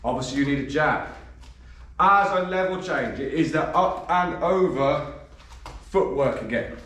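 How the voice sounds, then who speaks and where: A man, a bit croaky from a cold, coaching boxing and counting punches as he demonstrates. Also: on the high side, expressive, measured pace, a bit bright. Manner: demonstrates moves while talking, counting punches. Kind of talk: instructional demonstration. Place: gym. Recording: echoey gym, voice from a distance.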